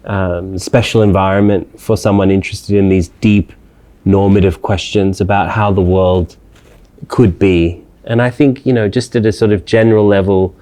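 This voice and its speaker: Low, mellow-voiced man. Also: steady tone, normal speed. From Australia. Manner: thoughtful, hesitant with many fillers and restarts. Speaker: interviewed scholar. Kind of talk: reflective interview answers.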